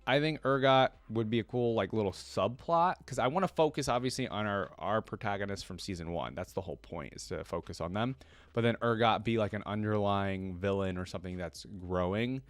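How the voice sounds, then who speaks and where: Guy doing casual fan commentary, kinda low voice, rambly, thinking out loud, really dry recording. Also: clean tone, no echo. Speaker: reaction video host, fan commentator. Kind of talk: casual unscripted reaction commentary to viewers.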